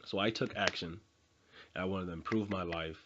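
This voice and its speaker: A man's voice: deep voice, normal speed, lo-fi sound, background noise. A man in his twenties giving an earnest, unscripted motivational talk, repeating phrases and restarting often. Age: 20s. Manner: earnest, repetitive, with many fillers and restarts. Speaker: motivational vlogger.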